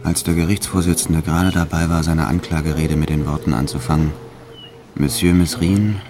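A man's voice: very deep voice, steady tone, moderate pace, over music. An actor playing a hardened, reckless French bank robber who tells his story in a cold, matter-of-fact, boastful way for a radio drama. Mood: tense, controlled. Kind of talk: first-person radio drama narration.

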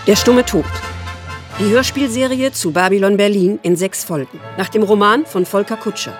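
A woman reading scripted radio drama credits in a measured, formal manner. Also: mid-range voice, over music.

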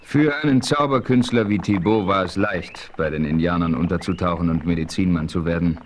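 A man narrates a radio play in a steady storytelling voice. His voice sits rather low. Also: a little fast, a crowd in the background.

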